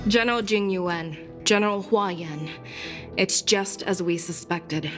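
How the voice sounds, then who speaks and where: Female actor as grave commander, medium pitch, solemn, formal, authoritative, video game, music behind. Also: unhurried, close to an everyday voice. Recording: music underneath.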